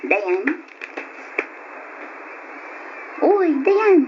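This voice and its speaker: A woman's voice: very high voice, big pitch swings, slow pace, a little hiss or hum, heavily acted. An actress playing a cheerful, teasing female college student in an Ilocano radio drama, speaking with an Ilocano accent. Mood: curious, uneasy. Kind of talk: old radio drama acting. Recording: dull lo-fi radio drama recording.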